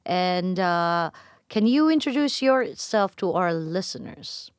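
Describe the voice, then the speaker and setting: Low-voiced woman hosting a radio interview. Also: expressive, slow pace, clear, resonant tone, no echo, studio quality. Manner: lots of "mm-hmm" backchannels, warm encouraging interjections. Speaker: radio host. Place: radio studio.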